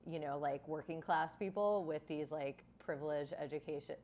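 A woman's voice: sits low, normal speed, darkish tone, a little hiss or hum. A woman hosting a podcast, chatting casually and using a lot of filler words. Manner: chatty, many "like"s. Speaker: podcast host. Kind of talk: casual interview.

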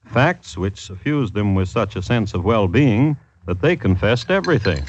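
An actor plays a droll, formal storyteller hosting an old radio crime show. His fairly low voice moves widely in pitch while staying wry. The recording sounds dull. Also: expressive, moderate pace, slight background noise, natural voice. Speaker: host and narrator. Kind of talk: 1950s radio scripted narration.

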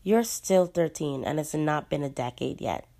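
A woman voicing a grumpy, sleepy father in a read-aloud story, with little change from her own low voice. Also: expressive, moderate pace, vocal fry, dark tone. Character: grumpy sleepy father, not a morning person.

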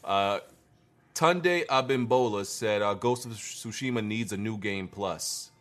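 A man's voice: lowish voice, a little slow, fairly bright tone. A man hosting a casual livestream, reading viewer comments aloud and adding dry, laid-back remarks. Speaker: host reading viewer super chats.

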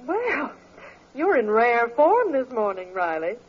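An actress playing a patient, wryly affectionate middle-aged wife in a vintage radio comedy. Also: female voice, fairly high pitch, big pitch swings, takes it slowly, slightly rough, exaggerated voice. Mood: fond, teasing. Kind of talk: 1940s radio comedy acting. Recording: muffled, noisy old radio recording.

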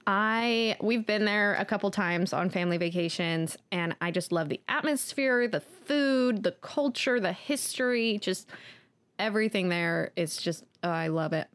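A woman's voice: medium pitch, a little slow, fairly bright tone, clear, resonant tone, dry recording. Easygoing woman podcaster, dry close sound. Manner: easygoing, chatty, with many likes and ums. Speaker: podcast co-host. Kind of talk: casual chat.

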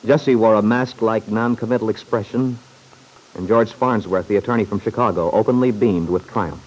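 An actor narrating an old-time radio courtroom serial as a grave, dramatic storyteller. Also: male voice, lowish voice, steady tone, moderate pace, a little mellow, breathy edge, rough recording.

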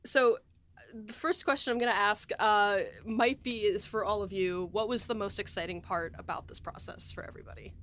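A woman's voice: mid-range voice, a little fast, a bit bright. A woman hosting a casual behind-the-scenes podcast chat, rambling along and peppering her sentences with "like". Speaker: host and audio drama writer-producer.

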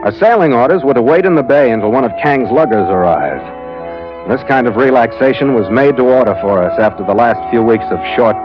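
Casting: male actor as hard-boiled sea captain, animated delivery, clipped slang, old dull radio sound. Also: mid-range voice, close to an everyday voice. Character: plainspoken, hard-boiled sea captain narrating his voyage. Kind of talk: 1940s radio adventure acting and narration. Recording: dull vintage radio recording with music.

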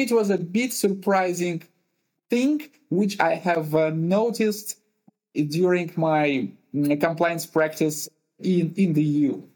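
A man from Uzbekistan, a compliance lawyer and podcast guest, answering questions in a measured way, pausing to find his words in non-native phrasing. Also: sits high, darkish tone. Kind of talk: thoughtful conversational answers. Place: remote call, based in Europe.

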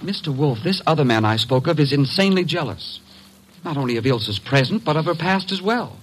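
An actor playing an earnest, lovesick young gentleman with a husky voice and prim, formal speech in an old-time radio drama. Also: a little high, animated, a little fast, a little hiss or hum, natural voice, low-quality recording. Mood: anxious, earnest.

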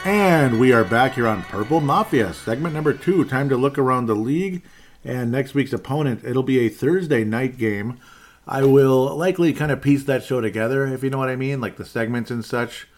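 A man's voice: mid-range voice, moderate pace, faint music behind. A man hosting a casual sports podcast, rambling and correcting himself with wry asides. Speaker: podcast host.

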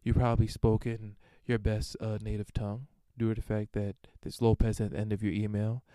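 Smooth, mellow-voiced man riffing crudely. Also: on the low side, level tone, a little fast, no echo. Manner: crude, irreverent, rambling with frequent fillers. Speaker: podcast host answering listener emails. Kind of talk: casual solo monologue.

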